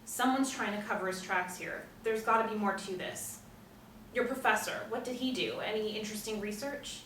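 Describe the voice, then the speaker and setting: A woman's voice: mid-range voice, moderate pace, a bit bright, lightly acted. An actress at a table read playing an impatient, blunt woman drawn into a mystery. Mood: suspicious. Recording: echoey room, distant mic, faint noise.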